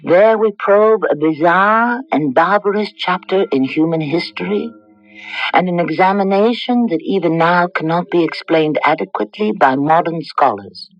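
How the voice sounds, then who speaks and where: A woman hosting a radio drama, narrating a script in a measured, formal manner. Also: lowish voice. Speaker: host and narrator. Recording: dull lo-fi radio recording over music. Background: music.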